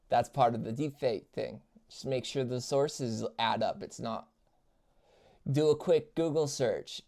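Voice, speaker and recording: Streamer, male, mid-range voice, casual halting reaction commentary addressed to viewers. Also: mid-range voice, darkish tone. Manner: halting, many restarts and fillers, talking to chat.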